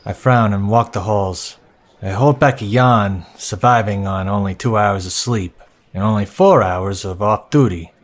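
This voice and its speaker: An actor playing a weary, sceptical police officer, narrating and acting with a dry manner in an audio drama. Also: male voice, lowish voice, a little slow, natural voice. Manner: dry, sardonic first-person narration.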